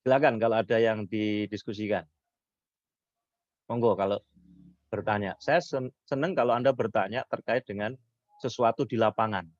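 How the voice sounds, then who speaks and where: A hoarse man, lecturing on public speaking and answering questions, lifting his voice high when he stresses points. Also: moderate pace, pauses often, dry recording. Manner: rising pitch when stressing points, mixes Javanese words. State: hoarse from illness. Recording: dull lo-fi sound.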